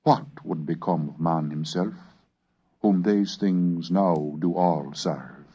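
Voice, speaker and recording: A man reading a scripted educational narration in a formal, measured, oratorical manner. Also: low voice, warm tone, husky. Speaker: lecturer and reader. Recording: dull lo-fi cassette recording.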